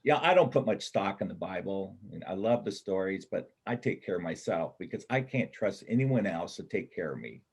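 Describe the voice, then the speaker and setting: A man performing a reader's theater part as a gruff, cynical bank robber and combat veteran, blunt and colloquial in his talk. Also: low-pitched, lively intonation, fairly quick, few pauses, heavily acted. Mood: wry, guarded. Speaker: amateur church play performer.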